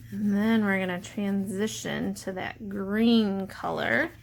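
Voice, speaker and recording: Female art teacher for kids, mid-range voice with noticeable vocal fry, explaining each step simply, slight noise behind. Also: medium pitch, steady tone, takes it slowly. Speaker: teacher leading a drawing lesson. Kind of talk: step-by-step instructional narration for children.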